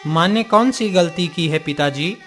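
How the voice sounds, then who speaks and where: A man's voice: sits high, normal speed, fairly bright tone, faint music behind. A man playing a humble, dutiful young prince in a cartoon moral story, speaking respectfully and earnestly in formal words. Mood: questioning.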